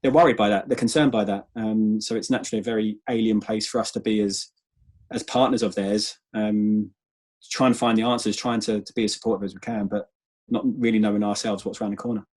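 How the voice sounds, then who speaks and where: A man speaking as a guest in a conversational business interview, measured and often repeating words as he goes. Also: fairly low pitch, steady tone, a little mellow. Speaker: interview guest, sales manager.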